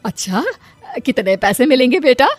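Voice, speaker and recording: High-voiced nagging cartoon mother, acted by a woman. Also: animated, normal speed, faint music behind. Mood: eager. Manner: scolding, exasperated. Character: nagging, scolding middle-aged mother of grown children. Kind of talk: Hindi moral-story cartoon dubbing.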